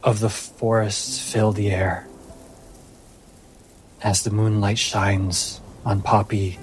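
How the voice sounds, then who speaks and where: A man narrating a fantasy tabletop game as game master, with measured, dramatic pauses. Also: on the low side, flat delivery, slight background noise, rough recording.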